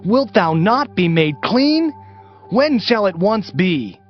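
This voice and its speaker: An actor playing a grave, lamenting Hebrew prophet in a solemn, declamatory dramatized Bible reading. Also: male voice, high voice, big pitch swings, slow pace, heavily acted. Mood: grave warning. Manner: solemn, declamatory, archaic phrasing. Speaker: dramatized scripture reader. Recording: dull audiobook sound with music underneath.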